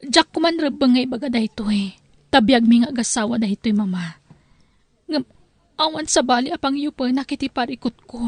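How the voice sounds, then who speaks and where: A woman acting as a young Ilocano wife, hurt and proud. Her voice is very high and closely recorded, with an aggrieved, protesting way of talking suited to family radio drama. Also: expressive, normal speed, slightly rough, no echo. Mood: subdued, troubled.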